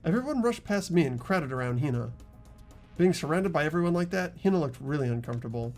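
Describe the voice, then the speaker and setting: A man's voice: a little high, animated, fast, darkish tone, faint music behind. A man reading expressively as amateur narrator and protagonist of a video game, mixed with casual chat.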